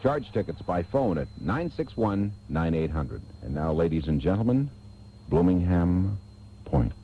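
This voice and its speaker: A man's voice: sits low, very animated, unhurried, muffled recording, slight background noise. A man reading commercial announcements as a radio announcer. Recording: taped radio, faint music behind.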